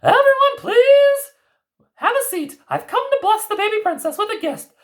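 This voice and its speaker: A gracious grandmother fairy godmother with a soaring, sing-song voice. She lilts through every phrase with grand, motherly warmth. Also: sing-song, normal speed. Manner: sing-song, very high and bright.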